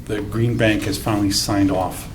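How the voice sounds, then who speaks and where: A low-voiced man answers questions at a town meeting, measured and hedging, with plenty of ums. A noisy hiss runs under the recording. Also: fairly even intonation. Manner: measured, hedging, with many fillers.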